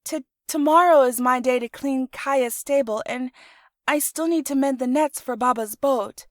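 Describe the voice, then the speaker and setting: Storybook young girl, high-voiced, eager and hesitant, performed by an adult woman. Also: unhurried, no echo, studio quality. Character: eager, timid young girl, the little sister.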